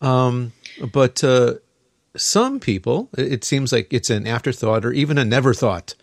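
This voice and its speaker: A man's voice: mid-range voice, expressive, measured pace, no echo, studio quality. A man hosting a casual podcast conversation, talking loosely with many fillers and restarts. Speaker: podcast host.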